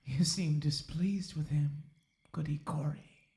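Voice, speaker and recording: A man playing a hesitant, courteous young colonial lawman in a period audio drama, formal and earnest with halting phrasing. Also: a little high, steady tone, warm tone. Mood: uneasy, courteous. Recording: roomy echo.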